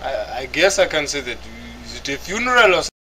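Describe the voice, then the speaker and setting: A man's voice: medium pitch, big pitch swings, quick pace, bright, forceful tone, a little hiss or hum, low-quality recording. A man in a gaming voice chat, firing blunt, provocative questions at other players. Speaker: guild member on voice chat.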